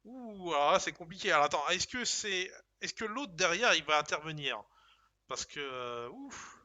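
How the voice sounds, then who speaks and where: A man's voice: a little high, animated, normal speed, bright, forceful tone. A man streaming a game, reading options aloud and joking in casual commentary.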